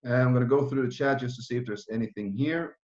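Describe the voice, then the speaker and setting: A man's voice: mid-range voice, a little mellow. Man in his thirties, roomy lo-fi sound. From Norway. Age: 30s. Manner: fast, run-on, with frequent restarts and fillers. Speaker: project founder presenting. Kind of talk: online presentation with Q and A.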